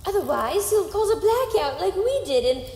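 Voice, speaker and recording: Genteel female scientist, chiding and scatterbrained, very lively intonation, hall reverb, comic audio drama. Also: very high voice, moderate pace, exaggerated voice. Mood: cheerful, instructive. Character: bright, scatterbrained, bossy lady scientist. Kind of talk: sci-fi comedy audio drama acting. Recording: echoey hall, faint background noise.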